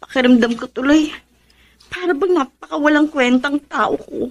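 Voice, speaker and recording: Casting: sensitive young wife, very meek, plaintive high voice, radio drama. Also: female voice, normal speed. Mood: hurt, downcast. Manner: plaintive, apologetic.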